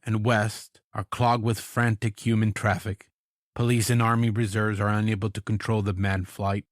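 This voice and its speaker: A man playing a grave radio news announcer in a radio drama, reading formal bulletins in a solemn, measured way. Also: fairly low pitch, dry recording, clean studio sound, lightly acted.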